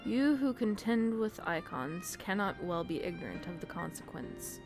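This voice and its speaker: Woman voicing an ancient, solemn female dragon over loud music. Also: fairly low pitch, sing-song, moderate pace. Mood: grave, resigned. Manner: archaic, grave, stately phrasing. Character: ancient female dragon, solemn, proud, guilt-ridden prisoner. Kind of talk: fantasy game dialogue reading.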